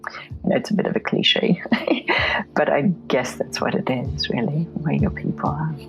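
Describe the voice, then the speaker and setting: A middle-aged woman from Poland, an interview guest talking reflectively in a soft, thoughtful way that often drifts into whispery asides. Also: low-pitched, measured pace, muffled recording, faint music behind. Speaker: interview guest, photomedia artist.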